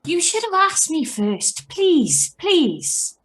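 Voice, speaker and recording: A woman playing an irritated, gossipy party-line caller in a radio play, shrill and indignant. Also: wildly swooping pitch, slow pace, fairly bright tone, full character voice.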